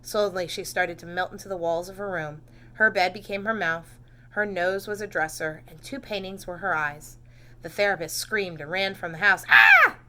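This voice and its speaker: A woman teacher reading a picture book aloud to young children, with expressive, animated emphasis. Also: on the low side, normal speed, background noise. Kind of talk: expressive children's storybook narration.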